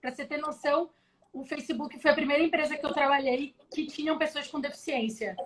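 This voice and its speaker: High-pitched woman from Belém, casual. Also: brisk, slight background noise. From Brazil, Belém. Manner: relaxed, explanatory, frequent restarts. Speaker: guest, tech professional. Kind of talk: casual live chat. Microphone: video call.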